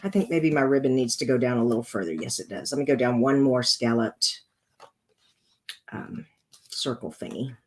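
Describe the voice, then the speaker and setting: A woman with a rough, congested voice hosting a casual card-making tutorial, chatting her way through each step as she works. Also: a bass voice, normal speed, darkish tone.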